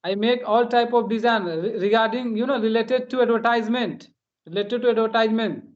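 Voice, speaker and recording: High-voiced man, hesitant and repetitive. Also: fairly quick, lo-fi sound. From India. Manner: hesitant, repeats phrases. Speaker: host. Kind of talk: casual English practice conversation.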